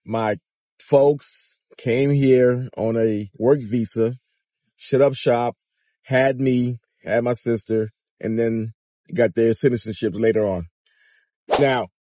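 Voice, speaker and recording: Man, fifties, casual car vlog, animated, talks to viewers, dry sound. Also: mid-range voice, no room sound. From Miami, Florida. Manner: animated, chatty, addresses viewers directly. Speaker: vlogger. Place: inside a parked car. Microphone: phone or dash camera at arm's length.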